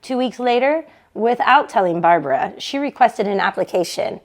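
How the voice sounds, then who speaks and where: A woman reading a novel aloud in an expressive storytelling style. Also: a little high, normal speed. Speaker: bookshop read-along reader.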